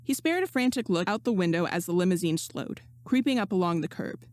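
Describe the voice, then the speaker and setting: A woman's voice: on the low side, animated, fairly quick, a little mellow, no room sound. A woman reading a fan story aloud as scripted narration, close to the microphone. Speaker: podfic reader. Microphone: close XLR microphone.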